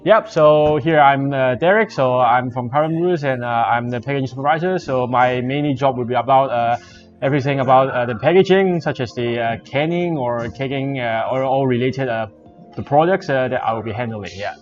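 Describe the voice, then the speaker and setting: Man, brewery staffer, hesitant explainer, average pitch, lo-fi livestream audio. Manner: halting, hesitant phrasing. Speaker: brewery packaging supervisor co-hosting a tasting. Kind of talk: casual explanatory livestream chat. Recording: muffled livestream audio with faint music. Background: faint background music.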